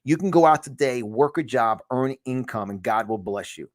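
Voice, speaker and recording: A man's voice: mid-range voice, expressive, moderate pace, no echo. A man with a strong New York accent talks like a motivational preacher, pressing each point and circling back over his words. His voice sits in an ordinary middle range. It is recorded dry and close, with no room sound. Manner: emphatic, repetitive, stumbling restarts, preacherly. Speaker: host of a conservative news channel. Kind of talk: motivational monologue.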